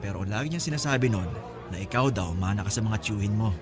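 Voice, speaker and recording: A man acts a breezy older brother in a radio drama. His voice is on the low side, casual and frank, with a teasing, talkative manner over clearly audible music. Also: expressive, fast talker, a crowd in the background, lightly acted. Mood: candid, casual. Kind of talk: Filipino radio-style drama dialogue.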